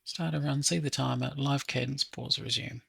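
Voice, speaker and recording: A man with a gravelly, husky voice talking casually to himself as he hosts a think-aloud software tutorial. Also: medium pitch, steady tone, moderate pace, no room sound. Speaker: app developer hosting a coding session.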